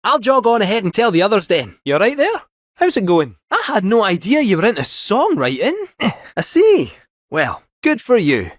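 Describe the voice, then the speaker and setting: Small robotic cat, Scottish accent, high animated pitch swings, chirpy and wily, folksy game dialogue, dry close recording. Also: male voice, normal speed, no echo, heavily acted. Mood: breezy, teasing. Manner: theatrical, folksy, chatty. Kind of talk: scripted video game character dialogue.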